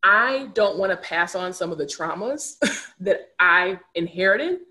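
A woman's voice: fairly low pitch, lively intonation, a little slow, pushed and bright, poor audio. A young adult woman speaking candidly as a podcast guest, earnest and reflective, repeating words for emphasis. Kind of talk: candid conversational storytelling.

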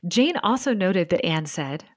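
A woman hosting and narrating a scripted documentary in a measured, articulate storytelling manner. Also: lowish voice, sing-song, no room sound, studio quality. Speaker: podcast host and narrator.

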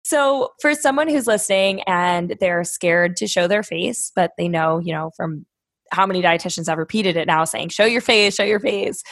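A woman's voice: on the low side, moderate pace, dry recording, studio quality. A woman hosting a podcast, affirming her guest's answers and asking follow-up questions. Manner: affirming, encouraging. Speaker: podcast host.

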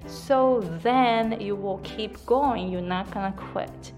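Slow-talking woman with a Japanese accent. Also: medium pitch, music in the background. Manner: chatty, repeats "right?" and "like", self-corrections. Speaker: English pronunciation coach. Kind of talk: business advice vlog to camera. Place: speaking to a camera.

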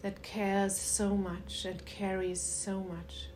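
Very slow, even-toned woman guiding meditation. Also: mid-range voice, a little hiss or hum, low-quality recording. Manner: soothing, unhurried, with long pauses. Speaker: meditation guide. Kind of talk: guided meditation.

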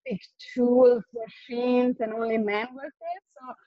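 A woman's voice: a little high, unhurried, no room sound. A young woman with a German accent, answering interview questions in a hesitant way with many restarts. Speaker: guest engineer. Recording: dull lo-fi audio.

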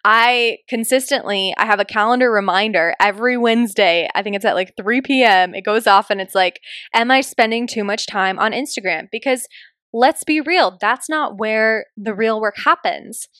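A woman's voice: fairly high pitch, normal speed, a bit bright, smooth, no room sound, studio quality. A woman hosting her own podcast, chatting casually, laughing often and checking in with "right?". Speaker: podcast host. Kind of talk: casual solo podcast talk.

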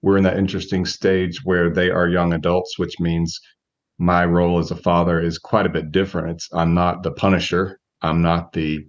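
Low-pitched man in his forties, reflective. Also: flat delivery, moderate pace, muffled recording. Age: 40s. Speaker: guest, relationship coach. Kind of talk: reflective interview answers.

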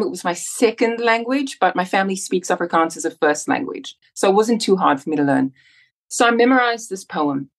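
A woman, a podcast guest telling her life story in a reflective, lively way. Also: lowish voice. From South Africa. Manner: reflective, animated when retelling scenes. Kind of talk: casual interview storytelling.

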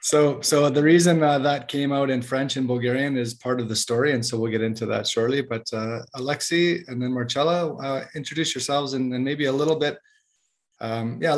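A man hosting a podcast interview, talking in a halting way with many fillers. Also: mid-range voice. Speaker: podcast host.

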